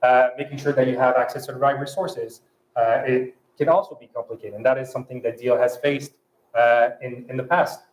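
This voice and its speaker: A man from France speaking English with a French accent, a guest at a fireside chat who talks in halting phrases with many restarts and fillers. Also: medium pitch, fairly even intonation, roomy sound, poor audio. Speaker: panel guest.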